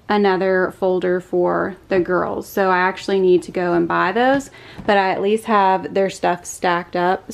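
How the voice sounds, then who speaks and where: A woman's voice: mid-range voice, vocal fry. A woman, chatting casually in a voice-over for her home vlog. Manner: chatty, confiding, says y'all. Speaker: vlogger.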